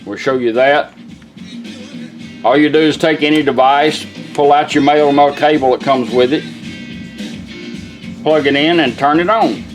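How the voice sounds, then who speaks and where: A man with a slightly high voice walks through an informal product demo. He sounds folksy and instructional, explaining things step by step. Guitar music plays clearly behind him. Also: unhurried, pauses often. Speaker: product demonstrator. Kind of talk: informal hands-on product demonstration.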